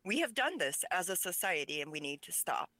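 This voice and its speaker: A woman speaking English as a second language, with Pennsylvania Dutch as her first, talks as an interview guest. Her voice sits low for a woman but comes across bright and forceful. She speaks with passion and emphasis, recorded dry and close with no room sound. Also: sing-song, brisk, no room sound. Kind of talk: conversational interview.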